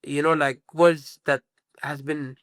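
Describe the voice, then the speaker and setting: A man from Sudan talking as a musician guest, his voice a little high and recorded dry, close to the mic. He rambles through his answers with lots of "you know" and restarts, in a casual interview. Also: little pitch movement, takes it slowly, a bit bright, dry recording. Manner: rambling, many fillers and restarts.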